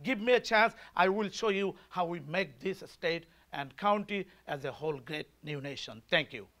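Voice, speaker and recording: A man's voice: sits high, moderate pace, a bit bright. A man in his forties from Bangladesh with a Bangladeshi accent, giving an emphatic, rousing campaign speech at a political forum. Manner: emphatic, rousing, leads call-and-response. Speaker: party chair candidate.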